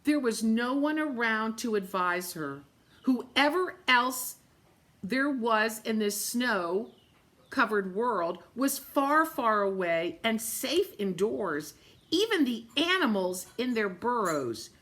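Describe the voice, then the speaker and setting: A woman reading a children's story aloud in an expressive, dramatic way, with chatty asides spoken directly to young listeners. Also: a little high, takes it slowly. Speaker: story-time reader for children.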